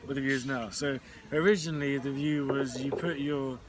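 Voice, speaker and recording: A man's voice: medium pitch, fairly even intonation, normal speed, slight background noise, rough recording. A man of thirty, a podcast host, who muses and rambles as he works out his ideas. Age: 30s. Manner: musing, rambling. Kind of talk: casual chat.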